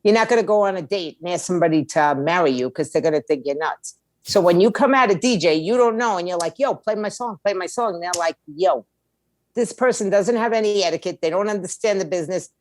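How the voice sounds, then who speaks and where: A woman's voice: lowish voice, fast, a little mellow. A middle-aged woman giving conversational advice as an interview guest, blunt and streetwise, making her points with rhetorical questions. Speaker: podcast guest, music attorney and indie label owner.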